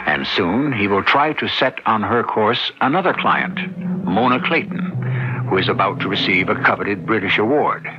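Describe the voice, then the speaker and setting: Low, measured male radio narrator. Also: lively intonation, background noise. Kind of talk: dramatic scripted radio narration. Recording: dull lo-fi radio recording, music behind. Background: music.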